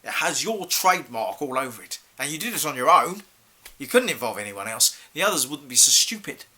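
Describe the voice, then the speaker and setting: A man's voice: medium pitch, very animated, brisk, bright, forceful tone, slightly rough, full character voice. A male actor playing a stern, exasperated head teacher in a theatrical monologue, accusing a pupil with formal, clipped questions. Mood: accusing, angry. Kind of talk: theatrical monologue for an acting showreel.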